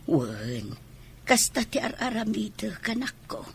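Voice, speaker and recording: A woman's voice: medium pitch, big pitch swings, unhurried, creaky. A woman playing an ailing, grateful elderly mother in a radio drama, plaintive, with a Filipino accent. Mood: apologetic, pained. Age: older. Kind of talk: Ilocano radio drama acting.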